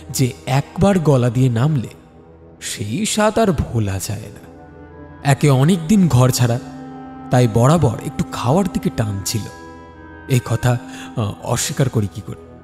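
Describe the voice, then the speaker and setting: Mellow male storyteller in deep reverb. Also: lively intonation, measured pace, pauses often. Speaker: narrator. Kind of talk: dramatic scripted first-person story narration. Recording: long studio reverb, music behind. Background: background music.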